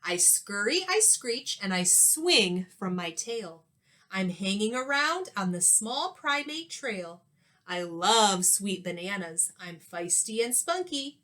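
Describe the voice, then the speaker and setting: Woman reading as a playful riddling zoo animal, slow-paced. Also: medium pitch, very animated, sharp tone, exaggerated voice. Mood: feisty, playful. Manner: sing-song, measured. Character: zoo animals posing playful rhyming riddles about themselves. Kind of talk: children's storybook read-aloud.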